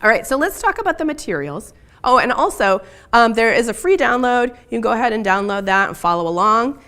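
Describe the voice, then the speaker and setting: A middle-aged woman, hoarse and raspy after being ill, teaching a live crochet tutorial in a chatty way with frequent ums. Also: fairly high pitch, expressive, a little fast, fairly bright tone. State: hoarse from illness. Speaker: crochet instructor.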